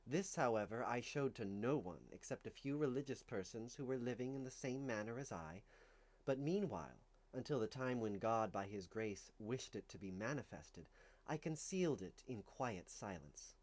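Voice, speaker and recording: Guy doing a dramatic scripture reading, medium pitch, very solemn, deliberate phrasing w/ big emphasis. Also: lively intonation, a little mellow. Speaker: reader of a religious text.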